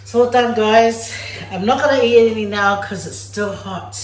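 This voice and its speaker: A woman's voice: fairly high pitch, normal speed. A woman from Samoa who hosts a cooking vlog and walks viewers through a dessert in a playful, chatty way. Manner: chatty and playful, talks straight to viewers as "guys". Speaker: cooking vlogger. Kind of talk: casual cooking demonstration. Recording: echoey room.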